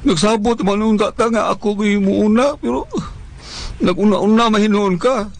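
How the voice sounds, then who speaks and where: A man's voice: high voice, normal speed, creaky. A man playing a mourning old widower in a radio drama, lamenting plaintively to his dead wife with a Visayan Filipino accent. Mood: mournful, pleading. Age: older.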